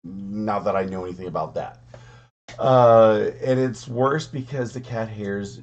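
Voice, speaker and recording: A man with a hoarse voice weakened from coughing, chatting casually as a quilting vlogger, rambling with many fillers. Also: fairly low pitch, unhurried, a little mellow, highs cut off. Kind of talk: casual chat to camera.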